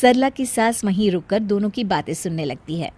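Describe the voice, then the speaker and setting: A woman's voice: medium pitch, expressive, normal speed, warm tone, no echo. A woman narrator reading a scripted story in a storytelling style.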